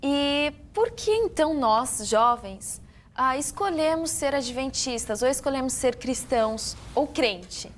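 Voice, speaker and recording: Brazilian woman host, casual and lively, slightly high voice. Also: a little slow, a little hiss or hum. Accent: Brazilian Portuguese. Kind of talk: casual panel chat.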